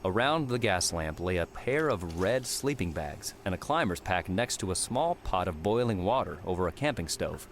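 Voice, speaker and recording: A man's voice: low voice, big pitch swings, moderate pace, hardly any pauses, slight background noise. A man reading scripted third-person fiction as an audiobook narrator. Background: prominent music with outdoor ambience.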